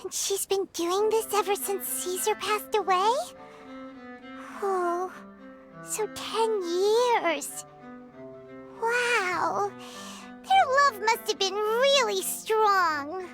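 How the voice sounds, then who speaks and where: Tiny cheerful fairy sidekick, extremely high voice, slow earnest delivery, game dialogue over music. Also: female voice, heavily acted. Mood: moved, wondering. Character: small childlike floating fairy companion, cheerful and earnest. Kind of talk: video game voice acting. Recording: music under the voice.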